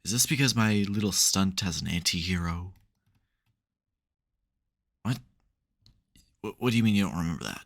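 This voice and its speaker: A man's voice: deep voice, lively intonation, normal speed, creaky, pauses often, a bit bright, exaggerated voice. A man playing a smug, theatrical supervillain who secretly cares, in a dramatic, wry, chatty way for an ASMR roleplay. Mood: exasperated, flustered.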